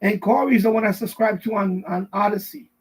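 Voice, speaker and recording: A middle-aged man with a husky voice chats casually on a live stream, rambling and repeating himself as he answers viewers. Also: high voice, brisk, slight background noise. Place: basement at home.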